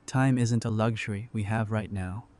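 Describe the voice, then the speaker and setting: Man acting a low-voiced, wry survivor. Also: steady tone, moderate pace, a little mellow, close to an everyday voice. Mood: serious. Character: easygoing, wry male survivor, friendly and pragmatic. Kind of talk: fan audio drama dialogue.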